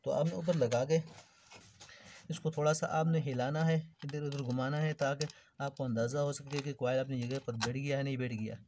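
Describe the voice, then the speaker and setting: A man's voice: mid-range voice, fairly even intonation, normal speed, a little mellow. A man giving an informal hands-on repair tutorial, explaining things step by step and addressing viewers as friends. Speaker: electrical repair tutorial host. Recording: clearly noisy background with clicks.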